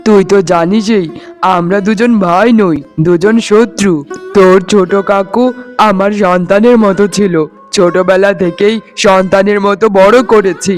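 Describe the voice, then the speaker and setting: A man's voice: high-pitched, fairly even intonation, unhurried. A man voicing a poor, wronged father in a cartoon, plaintive and resigned. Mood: sad, resigned. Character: poor, wronged, sorrowful father and elder brother. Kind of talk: cartoon voice acting. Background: faint music.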